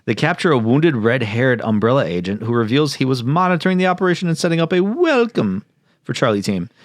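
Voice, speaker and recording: A man's voice: medium pitch, big pitch swings, a little fast, no echo, studio quality. A man co-hosting a podcast, recapping stories in casual comedic banter with swearing and frequent laughter. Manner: profane, joking, laughs often. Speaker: podcast co-host.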